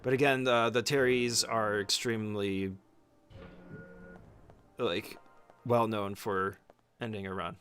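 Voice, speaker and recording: A man's voice: on the low side, steady tone, slow, pauses often, a bit bright, faint music behind. A man giving an informal, step-by-step speedrun tutorial as casual gameplay commentary, swearing freely.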